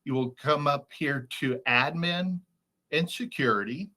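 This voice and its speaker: Slow, bright-voiced man giving software training. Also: fairly high pitch. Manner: step-by-step, matter-of-fact. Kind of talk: screen-share software walkthrough, instructional. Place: video call.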